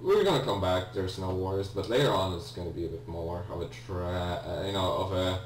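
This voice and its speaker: Guy doing a lets play, deep voice, kinda rambly and hesitant, mic sounds far off w some hum. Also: brisk. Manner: hesitant, rambling, self-correcting. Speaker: let's player, video game host. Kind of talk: casual gameplay commentary. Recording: roomy, distant mic with faint hum.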